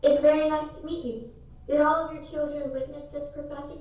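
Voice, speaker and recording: High-voiced, very echoey female field reporter, acted. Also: level tone, a little fast, a little mellow, a little hiss or hum, lightly acted. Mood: polite, formal. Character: polite, inquisitive female news field reporter. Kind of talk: radio play news interview. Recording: very echoey room, distant muffled mic.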